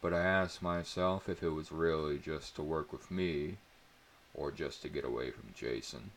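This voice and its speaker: A man narrating a story as a dramatic reading, in a measured, theatrical manner. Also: a bass voice, a little hiss or hum.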